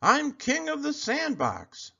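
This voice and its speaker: Man putting on a high-pitched hollering bully boy. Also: wildly swooping pitch, takes it slowly, gravelly, muffled recording, full character voice. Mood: bossy, threatening. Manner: yelling, boastful, taunting. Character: bossy loud playground bully boy, blustering.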